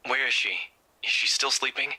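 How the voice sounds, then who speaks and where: Sharp, husky, composed young man. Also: medium pitch, normal speed, rough recording. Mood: guarded. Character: composed, guarded young man, dry with friends. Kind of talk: visual novel voice acting.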